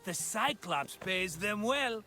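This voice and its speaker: An actor playing an excitable, scheming Greek hustler in a video game, wheedling and theatrical, with a Greek accent. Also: male voice, high voice, slow pace, faint music behind, exaggerated voice. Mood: eager, scheming.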